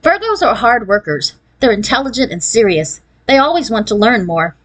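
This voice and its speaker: A trendy, confident young girl from a children's storybook, played by a woman reader. She talks breezily, casually showing off, with a raised, girlish pitch. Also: big pitch swings, normal speed, low-quality recording. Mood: confident, advising. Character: trendy, self-assured thirteen-ish Californian girl, show-off.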